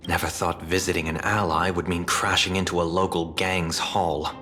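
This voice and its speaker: An actor playing a composed, courteous gentleman in a video game, calm, formal and dryly practical. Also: sits low, moderate pace, soft background music.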